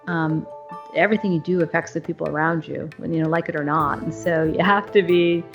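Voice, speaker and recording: A middle-aged woman from northern Maine answers interview questions in a low, steady voice. She is thoughtful and halting and restarts her sentences often. The audio sounds dull and lo-fi, with faint music behind her. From northern Maine, USA. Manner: thoughtful, halting, many fillers. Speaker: CEO, podcast guest.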